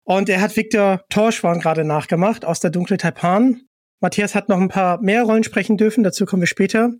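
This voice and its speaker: A man's voice: high voice, a little fast, no echo, studio quality. A young man hosting a podcast interview in a casual conversational style. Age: 20s. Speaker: podcast host.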